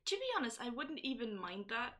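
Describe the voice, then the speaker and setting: A woman's voice: on the high side, sing-song, brisk, fairly bright tone. A woman co-hosting a podcast, chatting casually and reading listener answers aloud. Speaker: podcast co-host. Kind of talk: casual chat.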